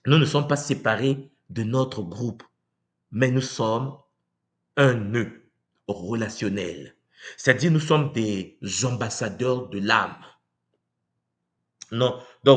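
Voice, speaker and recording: A man from Congo giving a live talk to viewers, animated and rhetorical, often asking "n'est-ce pas?" and acting out quoted voices. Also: medium pitch. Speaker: speaker on spiritual tradition. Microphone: livestream mic.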